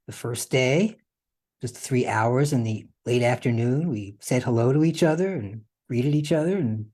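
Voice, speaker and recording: A man's voice: mid-range voice, warm tone, breathy edge. A man hosting a podcast, explaining things in a casual, conversational way. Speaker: podcast host.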